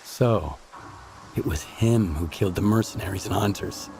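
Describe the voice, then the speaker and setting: With clear ambient noise behind, a gruff wasteland wanderer in a game. His deep voice stays terse, steady and reserved. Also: moderate pace, low-quality recording. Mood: probing. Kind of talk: dramatic video game dialogue.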